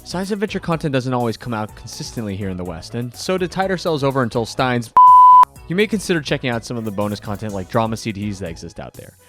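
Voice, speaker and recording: A man's voice: mid-range voice, very animated, fast. A man hosting a scripted commentary video with enthusiastic delivery and dramatic emphasis. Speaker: video host and anime fan. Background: background music.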